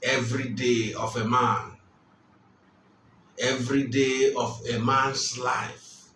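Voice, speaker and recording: Male preacher, slow, emphatic delivery, repeats key lines, mid pitch, distant mic in an echoey room. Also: little pitch movement, sharp tone, a little hiss or hum. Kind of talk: sermon. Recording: roomy, distant mic, faint ambience.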